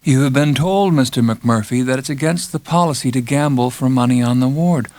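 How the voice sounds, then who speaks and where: A man's voice: medium pitch, fairly quick, darkish tone, no echo, close to an everyday voice. A controlling head nurse performed by a male reader for an audiobook. She speaks with cold pleasantness and the patient condescension of a schoolteacher, recorded very dry. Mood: cold, firm. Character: formidable middle-aged female head nurse, coldly pleasant, controlling. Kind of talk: audiobook character acting.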